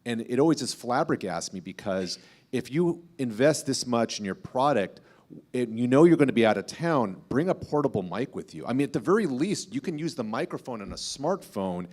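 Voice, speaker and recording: A man's voice: medium pitch, very animated, normal speed, a little mellow. A man speaking as a podcast host on a panel, explaining things in an informal, emphatic way. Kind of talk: panel discussion talk, explanatory.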